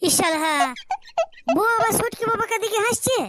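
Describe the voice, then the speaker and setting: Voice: extremely high pitch, expressive, normal speed, bright, forceful tone, full character voice. A performer voicing a hapless, gullible village husband in a comic cartoon, whining and hesitant. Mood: puzzled complaint.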